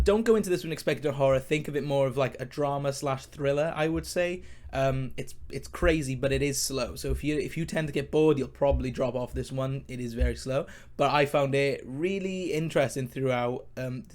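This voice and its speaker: A man with a mid-pitched voice talks informally to his viewers about films. He rambles keenly, leaning on emphatic words and repeating himself, and a mouth ulcer makes his speech sound slightly impeded. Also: a little mellow. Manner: enthusiastic, informal, emphatic and repetitive. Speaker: podcast host, film reviewer. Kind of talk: rambling casual film chat to viewers.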